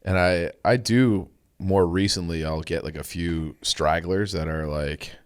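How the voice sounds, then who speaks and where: A man's voice: low-pitched, unhurried, clean tone, no room sound, studio quality. A young man co-hosting a podcast, telling rambling, laid-back stories in casual chat. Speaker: podcast co-host.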